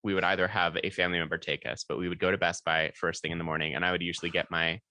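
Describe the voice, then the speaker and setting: A man's voice: deep voice, little pitch movement, rapid-fire, pushed and bright, no room sound. A man co-hosting a podcast, reminiscing in easygoing casual chat. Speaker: podcast co-host.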